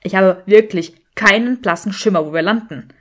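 A woman's voice: medium pitch, moderate pace, natural voice. A woman voicing a sardonic, grumbling young male wizard in an audiobook, dry and emphatic. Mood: urgent warning.

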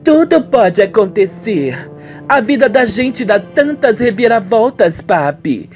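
Casting: actress as scheming young Brazilian heiress, highish voice, emphatic radio soap delivery, music underneath. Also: female voice, sing-song, slow, a little mellow. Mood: self-assured. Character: headstrong, scheming young woman, a rich farmer's daughter. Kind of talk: amateur radio soap opera acting.

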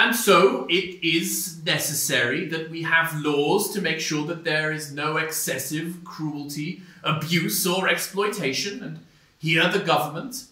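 An actor playing a pompous, smug conservative intellectual in a satirical stage debate. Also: male voice, on the high side, bright, forceful tone. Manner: pompous, hesitating orator. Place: small stone-walled theatre stage. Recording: roomy stage sound, distant mic.

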